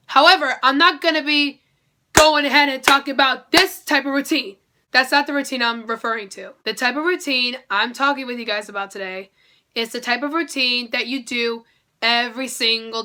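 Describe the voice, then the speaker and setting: A woman's voice: high-pitched, sharp tone. A young woman vlogger chatting upbeat to her viewers, her voice nasal and congested from a head cold. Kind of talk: casual talk to camera.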